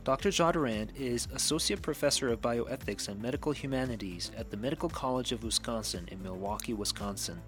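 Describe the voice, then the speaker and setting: A man's voice: lowish voice, fairly bright tone. A man reading a formal scripted introduction to a recorded conference session. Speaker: announcer. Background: music bed.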